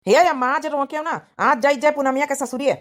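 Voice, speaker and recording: Woman playing protective young village brother, hot-headed, Awadhi accent, fairly high voice, argumentative cartoon delivery. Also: brisk, close to an everyday voice. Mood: worried, determined. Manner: insistent, argumentative, colloquial. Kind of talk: cartoon family comedy drama voice acting.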